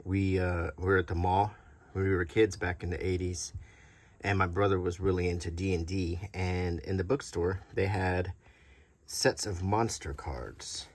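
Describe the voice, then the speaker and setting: A middle-aged man giving a casual show-and-tell as a hobby collector, talking softly in an unhurried, halting way. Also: low voice, steady tone. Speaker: hobby collector presenting a video.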